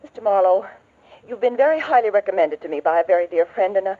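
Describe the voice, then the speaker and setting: A woman's voice: mid-range voice, expressive, quick pace, natural voice. A woman playing a worried, refined mother in a 1940s radio drama, speaking earnestly and hesitantly. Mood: anxious, polite. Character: worried, genteel middle-aged mother hiring a detective. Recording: dull old radio recording.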